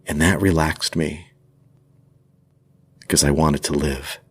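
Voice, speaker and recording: A man's voice: rumbling low, steady tone, slow pace, husky. A man telling a personal story as a podcast host, reflective and earnest, leaning on key words.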